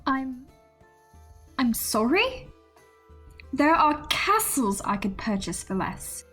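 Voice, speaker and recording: A woman's voice: sits high, big pitch swings, slow pace, pushed and bright, husky, soft background music, full character voice. A woman playing a prim, courtly young noblewoman in a fantasy audio drama, speaking formally and precisely with polite reproof. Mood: indignant.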